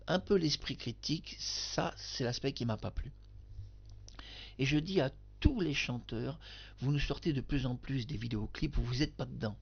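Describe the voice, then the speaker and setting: Gravelly-voiced man ranting in commentary. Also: medium pitch, dull audio. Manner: emphatic, addresses the singer directly, repeats himself. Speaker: video commentator. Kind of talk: rambling spoken commentary to camera.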